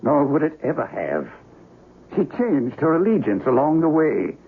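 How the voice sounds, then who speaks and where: An actor playing a grave, learned investigator of the supernatural in a radio mystery, speaking formally and deliberately. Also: male voice, fairly high pitch, very animated, gravelly, breathy edge, exaggerated voice. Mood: grave, knowing. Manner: precise, formal, deliberate, theatrical. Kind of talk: radio mystery drama acting. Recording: noisy dull radio broadcast recording.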